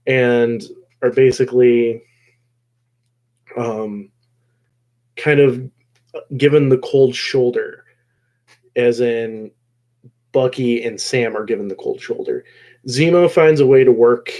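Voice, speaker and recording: A man hosting a casual recap discussion of a show episode, rambling along and often pausing mid-phrase. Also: mid-range voice, dark tone. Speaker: host of a show discussion video.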